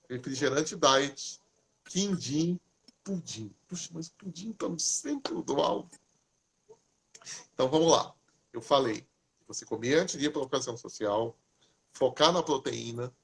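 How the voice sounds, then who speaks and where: A man hosts a casual live chat and gives tips in a lively, chatty way. His voice sits a bit high and swings widely in pitch. It has a husky edge. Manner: lively, chatty, asks questions then answers himself. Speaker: host. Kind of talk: casual live talk giving diet tips.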